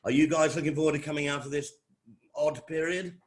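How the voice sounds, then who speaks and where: A man's voice: fairly high pitch, fairly even intonation, fairly quick. A middle-aged man with an English accent giving an informal welcome talk as a college lecturer, often repeating phrases and restarting his sentences. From England.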